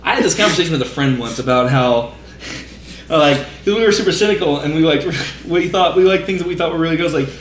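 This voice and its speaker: A man's voice: on the high side, fast talker. A man co-hosting a casual movie podcast, ranting in an exasperated, emphatic and sweary way. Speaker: podcast co-host. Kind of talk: casual panel rant about movies. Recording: roomy echo, slight background noise.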